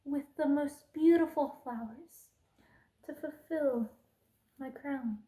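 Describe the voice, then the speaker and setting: A woman acts out a cruel, haughty queen for an ASMR roleplay. Her voice sits fairly high yet has a soft, mellow tone. She draws her words out slowly, with imperious disdain and menace. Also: rough recording. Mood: cold disdain. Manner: imperious, slow and deliberate, drops into menacing whispers. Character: haughty, cruel evil queen, threatening and contemptuous.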